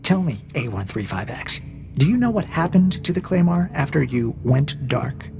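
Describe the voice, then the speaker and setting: A man voicing a cold AI interrogator in a science fiction audio drama, measured and formal, needling with calm, mocking questions. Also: fairly high pitch, gravelly, over music. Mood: dry, mocking.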